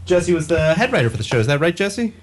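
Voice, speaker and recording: A man's voice: fairly high pitch, big pitch swings, fast. A man presenting a radio show as its host, speaking to listeners and guests.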